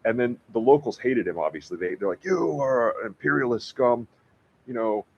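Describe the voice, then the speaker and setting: Husky male voice, casual podcast chat, rambling, slangy, medium pitch, dull recording. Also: animated, normal speed, darkish tone. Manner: rambling, slangy, filler-heavy with frequent "like". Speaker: podcast co-host discussing books. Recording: dull, lo-fi audio.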